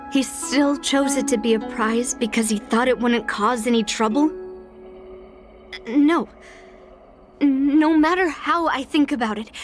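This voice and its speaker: A woman voicing a stubborn, resolute young swordswoman in a video game, speaking in an earnest, deliberate way. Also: sits high. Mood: suspicious, indignant. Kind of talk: dramatic video game voice acting.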